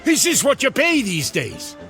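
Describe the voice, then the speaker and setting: A very high-voiced, crotchety man out of 1890 in a comic radio drama. His delivery swings widely in pitch as he grumbles, bewildered by the modern world, with faint music underneath. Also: wildly swooping pitch, a little slow, bright, forceful tone, heavily acted. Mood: flustered. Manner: alarmed, grumbling, old-fashioned bewilderment. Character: flustered, crotchety time traveler from 1890, bewildered by gadgets.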